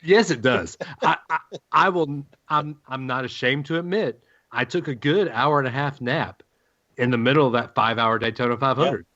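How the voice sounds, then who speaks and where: A man's voice: medium pitch, moderate pace, sharp tone. A man hosting a sports podcast with casual, opinionated and teasing banter. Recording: dull, lo-fi audio.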